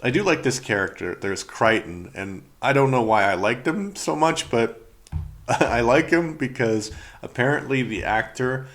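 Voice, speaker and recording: A man's voice: mid-range voice, animated, measured pace, a bit bright. A middle-aged man from Canada with a Canadian accent, chatting casually and rambling through a solo review podcast, often laughing and trailing off. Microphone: podcast microphone.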